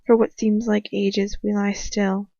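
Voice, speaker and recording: A woman's voice: mid-range voice, level tone, unhurried, gravelly, warm tone. A woman giving a dramatic first-person reading of fan fiction, as an amateur audiobook narrator. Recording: muffled roomy home recording.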